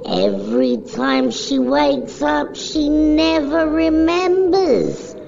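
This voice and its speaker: Woman narrating a dark comic story, really slow n gravelly, solemn, muffled audio w music. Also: high voice, very animated, background noise. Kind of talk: scripted dramatic storytelling narration. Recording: dull recording, music behind.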